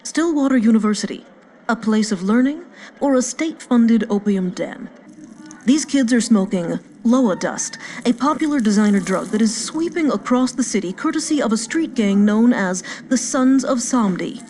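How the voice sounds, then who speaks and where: An actress playing a sensational TV news reporter in a parody broadcast within a game. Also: female voice, fairly high pitch, expressive, normal speed, mellow, lightly acted.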